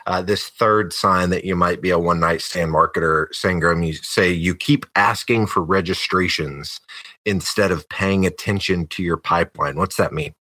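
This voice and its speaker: A man's voice: low-pitched, steady tone, normal speed, sharp tone, no room sound. A man hosting a podcast in a casual interview style, introducing points and prompting his guest. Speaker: podcast host.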